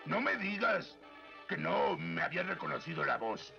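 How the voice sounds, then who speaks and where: A man voicing a scheming, beastly cartoon villain with a mocking, theatrical, drawn-out delivery. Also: fairly high pitch, lively intonation, gravelly. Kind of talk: dubbed cartoon acting. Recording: dull lo-fi cartoon soundtrack, music behind.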